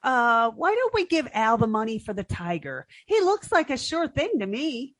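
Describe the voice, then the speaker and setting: A woman acts a guileless, slow-witted young woman in a vintage radio comedy. Her voice is high and swings widely up and down. She sounds earnest and sing-song, recorded close and dry. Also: sing-song, no echo. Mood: hopeful. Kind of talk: old-time radio comedy acting.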